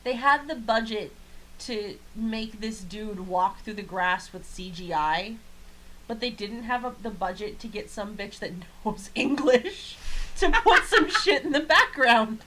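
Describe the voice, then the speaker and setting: A woman's voice: on the high side, animated, measured pace, sharp tone, slight background noise, rough recording. A woman co-hosting a podcast in casual chat, with a blunt, joking manner and a habit of swearing. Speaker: podcast co-host. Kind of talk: casual chat reviewing an anime.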